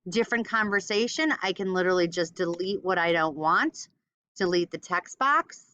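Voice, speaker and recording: A woman teaching a step-by-step software tutorial informally, thinking aloud as she demonstrates. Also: lowish voice, normal speed, clean tone, dry recording, lo-fi sound. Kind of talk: casual screen-recorded step-by-step tutorial.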